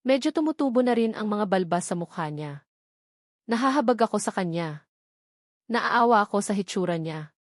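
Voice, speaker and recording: A woman's voice: mid-range voice, animated, normal speed, pauses often, no room sound, clean studio sound. A Filipino woman reading a first-person romance novel aloud as a dramatic, sorrowful narrator.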